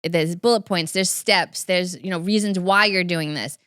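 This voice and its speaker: A woman with a smooth, clear voice and a slightly low pitch talks quickly as an interview guest. She is upbeat and laughs easily. The sound is dry and close to the mic. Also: a bit bright, no room sound. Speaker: professional organizer, guest. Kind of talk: interview conversation.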